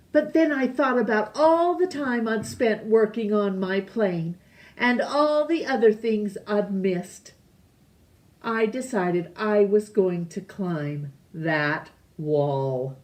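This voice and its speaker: A woman reading a children's picture book aloud, deliberately, with pauses between phrases. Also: mid-range voice, animated, a little mellow. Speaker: storytime reader.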